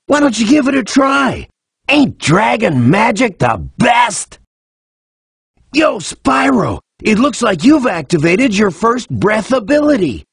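An actor playing a friendly cheetah sidekick in a video game, casual and encouraging, with a husky rasp. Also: male voice, high-pitched, dry recording, studio quality, exaggerated voice. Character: laid-back, friendly male cheetah sidekick. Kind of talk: video game tutorial acting.

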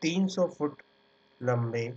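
A man's voice: mid-range voice, expressive, slow pace, darkish tone. A man teaching an art exam class, dictating notes word by word and then explaining pictures in a casual way. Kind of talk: slow dictated lecture over handwritten notes. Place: at a computer, screen recording. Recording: dull lo-fi sound with mains hum. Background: faint electrical hum.

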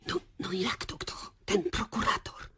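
An actress playing a furtive female theatre worker on a secret call, hushed and conspiratorial, in a radio drama. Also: unhurried, a little hiss or hum. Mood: furtive, urgent.

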